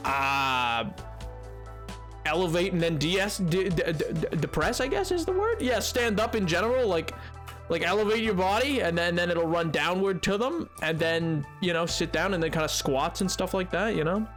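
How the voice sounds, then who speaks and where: A man's voice: high voice, animated, moderate pace, a bit bright, soft background music. A young man streaming a game, chatting casually with his chat, rambling and restarting his sentences as he reads messages. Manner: rambling, restarts and laughs while reading chat.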